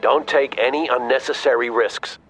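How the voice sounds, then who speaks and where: An actor playing a stern, decisive army officer giving clipped, authoritative orders in a video game. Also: male voice, medium pitch, fairly even intonation, moderate pace, fairly bright tone, soft background music, natural voice. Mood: firm, commanding. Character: stern commanding male army officer, decisive battlefield leader. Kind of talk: video game radio-briefing acting.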